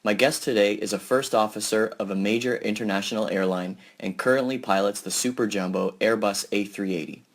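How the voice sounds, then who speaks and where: A man hosting a podcast, giving a measured, announcer-like welcome and then asking friendly interview questions. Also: on the low side, steady tone. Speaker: podcast host. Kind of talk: scripted intro then casual interview.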